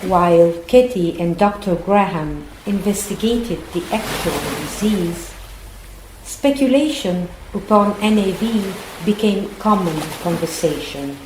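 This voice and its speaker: A woman's voice: on the low side, a little slow, darkish tone. A woman giving scripted narration for an audio drama. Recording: echoey room, faint noise.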